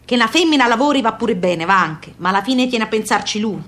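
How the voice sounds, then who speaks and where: A woman's voice: a little high, lively intonation, quick pace, fairly bright tone. An actress playing a proud, bossy emigrant mother in a radio play, with a southern Italian accent and emphatic, repetitive, folksy phrasing. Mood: bossy, advising. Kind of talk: stage-like radio theatre monologue and dialogue.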